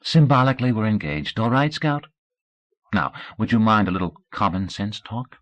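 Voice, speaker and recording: A man's voice: fairly low pitch, expressive, normal speed, fairly bright tone, voice pushed up. A man reading aloud, voicing an earnest teenage boy athlete who rambles and later cries.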